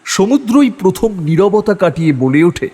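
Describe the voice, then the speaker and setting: A man's voice: high-pitched, sing-song, normal speed, mellow, husky. A man reading scripted dramatic narration for an audio horror story. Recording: long reverb on the voice.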